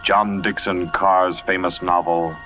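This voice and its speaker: A male announcer speaks in a low, grave voice with measured drama. It is scripted 1940s radio announcing. The recording is muffled, with loud music underneath. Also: level tone.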